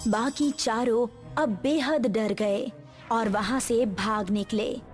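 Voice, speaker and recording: A woman's voice: a little high, takes it slowly, faint music behind. A woman reading a scripted horror story as its narrator.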